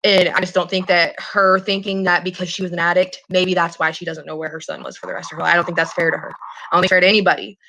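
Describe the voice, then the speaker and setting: A woman's voice: lowish voice, level tone, fast talker, vocal fry, pushed and bright, dull audio. A woman in a casual, earnest phone conversation, rambling and hesitant, often repeating herself and swearing casually. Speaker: caller in a private phone call.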